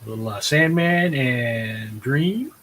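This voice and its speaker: A man's voice: mid-range voice, lively intonation, slow, fairly bright tone, a little hiss or hum. A man casually moderating a livestream as host, in short conversational turns. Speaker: host moderating a drawing show.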